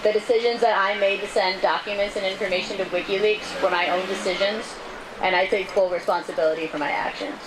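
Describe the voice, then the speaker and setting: A woman reads a prepared statement aloud in a measured, earnest way, as a public speaker at a rally. Her pitch is medium, and the outdoor recording carries clear street noise behind her. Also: fairly even intonation. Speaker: speaker reading a testimony at a rally. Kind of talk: reading prepared testimony aloud.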